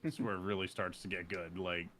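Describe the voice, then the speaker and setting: A man's voice: low voice, steady tone, quick pace, fairly bright tone, a little hiss or hum. A man talking casually as a podcast guest. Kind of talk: casual chat.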